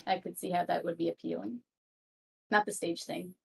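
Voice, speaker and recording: A woman's voice: fairly low pitch, fairly even intonation, normal speed, creaky, husky, rough recording. A woman player in a tabletop roleplaying game, chatting casually in character with a dry, understated manner. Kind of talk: casual in-character improvised chat.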